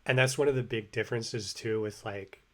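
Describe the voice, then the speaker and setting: A man's voice: on the low side, fairly quick, fairly bright tone. An American man talking casually about language learning, rambling with lots of fillers and restarts. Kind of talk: casual explanatory monologue. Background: construction hammering and sawing overhead.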